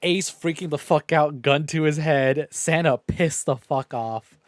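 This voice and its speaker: A man streaming a visual novel, reading it aloud and reacting in an expressive, sweary way. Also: fairly high pitch, a little slow, no room sound. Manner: expressive, profane. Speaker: game streamer.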